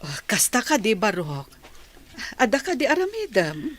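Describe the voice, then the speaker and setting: Lively loving mother played by a woman. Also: on the high side, moderate pace. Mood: pleased, curious. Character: loving, proud mother of a grown son. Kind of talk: radio drama acting. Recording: crowd noise behind.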